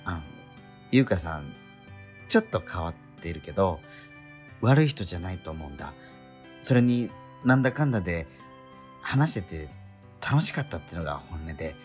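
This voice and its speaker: A man's voice: low-pitched, very animated, moderate pace, long pauses, soft background music. A man playing a bemused, good-natured transfer-student schoolboy, reacting like a puzzled straight man in a radio drama. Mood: gentle, sincere.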